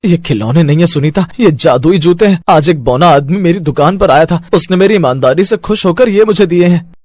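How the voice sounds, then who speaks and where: A man's voice: on the high side, a little fast, darkish tone, no room sound, close to an everyday voice. A man voicing a poor, honest cobbler in a cartoon moral story, earnest and full of exclamations. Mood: excited. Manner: earnest, exclamatory, easily amazed. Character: poor honest male cobbler, humble and excitable.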